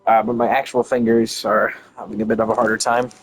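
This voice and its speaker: A man's voice: fairly low pitch, little pitch movement, quick pace, rough recording. A man doing casual gameplay commentary, rambling and thinking aloud as he streams. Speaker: game streamer. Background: game music.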